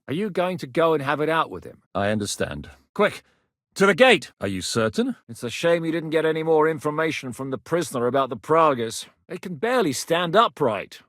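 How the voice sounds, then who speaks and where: A blunt, grizzled war captain. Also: male voice, a little high, a bit bright, no echo. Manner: blunt, commanding, dry and sardonic. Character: grizzled one-eyed male war captain, blunt and commanding. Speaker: mercenary leader in a medieval game. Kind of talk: video game voice lines.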